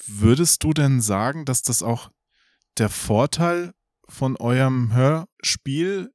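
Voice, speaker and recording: A man hosts a casual interview, putting conversational questions to guests. His voice is medium in pitch and recorded dry, with very little room sound. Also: takes it slowly, a little mellow, dry recording. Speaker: podcast host, interviewer.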